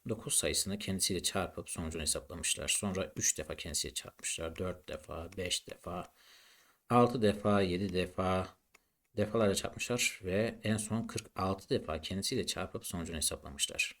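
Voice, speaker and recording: A man's voice: sits low, moderate pace, fairly bright tone. A man teaching a maths lesson in an explanatory, didactic way, posing questions and then answering them.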